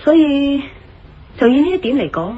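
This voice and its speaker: An actress playing a sharp, confident woman who reasons things out deliberately in a Cantonese audio drama, with a Hong Kong accent. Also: high-pitched, sing-song. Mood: scornful, firm. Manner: deliberate, didactic, assured. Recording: slightly muffled radio drama, music underneath.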